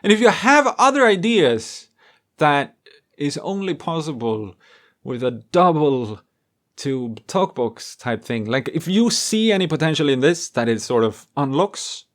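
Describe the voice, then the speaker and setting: A man with a fairly high voice talks casually to his viewers. His pitch swings widely while the pace stays a little slow. He muses as he goes, hesitating and leaving thoughts half finished. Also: lively intonation. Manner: hesitant, musing, trailing off mid-thought. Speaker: music gear video creator and host. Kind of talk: casual rambling vlog talk to viewers.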